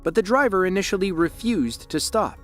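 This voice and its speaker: A man's voice: high-pitched, animated, moderate pace, a bit bright, faint music behind. A man giving scripted documentary narration in a dramatic, emphatic voice-over.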